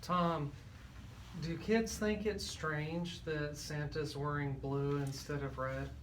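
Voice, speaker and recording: A man's voice: fairly high pitch, steady tone, slow, slight background noise, low-quality recording. A man hosting a radio interview, asking questions in a casual, conversational way. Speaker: radio show host.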